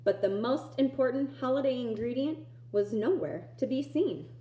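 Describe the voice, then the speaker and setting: A woman reading a children's picture book aloud in an expressive storytelling style. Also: high voice, normal speed, slight background noise. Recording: echoey room.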